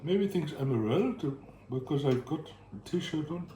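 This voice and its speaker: A man's voice: fairly high pitch, lively intonation, moderate pace, dark tone. Bored-sounding man muttering in an echoey room. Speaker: vlogger. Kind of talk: thinking aloud while building a computer. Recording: echoey room with faint hiss.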